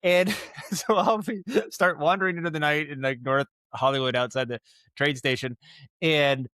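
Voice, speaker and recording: Animated man telling stories, dry close sound. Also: on the high side, normal speed, clean tone, no echo. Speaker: guest, audio drama writer and director. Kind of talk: casual podcast interview, storytelling.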